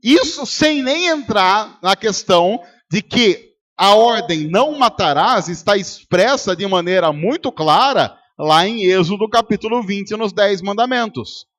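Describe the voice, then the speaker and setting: High-voiced Brazilian pastor preaching. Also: male voice, expressive, measured pace, fairly bright tone. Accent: Brazilian Portuguese. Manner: rhetorical, quizzes the congregation and acts out arguments. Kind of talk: sermon. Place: church service.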